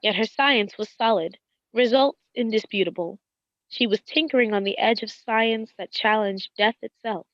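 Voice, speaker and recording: A woman's voice: on the high side, normal speed, no room sound, muffled recording, close to an everyday voice. A woman playing an adoring, wistful wife in a radio drama, speaking reverently and poetically of her beloved.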